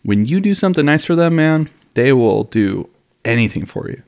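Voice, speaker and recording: A man's voice: medium pitch, sing-song, normal speed, darkish tone. A young man from Miami whose family is from Honduras, co-hosting a podcast in a laid-back chat, saying dude and you know a lot and laughing easily. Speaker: podcast co-host. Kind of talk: casual chat.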